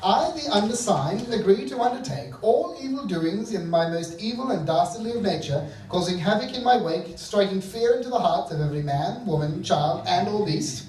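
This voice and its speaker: Comic villain role male actor: blustering mad professor, fairly high voice, pompous and dithering, heard distantly in an echoey theatre. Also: animated, moderate pace, few pauses, a little mellow. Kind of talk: stage comedy radio play. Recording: echoey theatre stage, distant mic, faint music.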